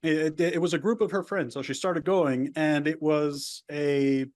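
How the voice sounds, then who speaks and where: Man with a slightly high voice, rambling. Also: fairly even intonation, a little slow, a little mellow. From United States, El Paso border area. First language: Spanish. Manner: rambling personal storytelling with frequent restarts. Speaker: guest. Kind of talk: casual interview conversation.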